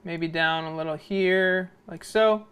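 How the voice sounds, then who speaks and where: A man's voice: fairly high pitch, steady tone, takes it slowly, fairly bright tone, smooth. A man narrating an informal video editing tutorial, explaining step by step and often checking in with a short questioning tag. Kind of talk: software tutorial screencast narration.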